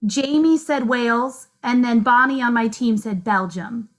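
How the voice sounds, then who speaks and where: A young woman hosting a virtual conference session, moderating questions in a friendly, relaxed way from an office. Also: on the high side, unhurried. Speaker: event host, moderator. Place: office, indoors. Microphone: video call.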